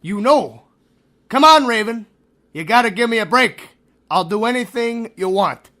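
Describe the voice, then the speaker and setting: A man playing a high-pitched, panicky small-time crook. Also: animated, measured pace, bright, forceful tone, exaggerated voice. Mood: pleading.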